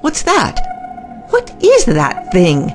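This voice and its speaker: A woman playing an excited, ambitious female scientist in a science fiction audio drama, formal and articulate. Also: on the high side, takes it slowly, over music, heavily acted. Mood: surprised.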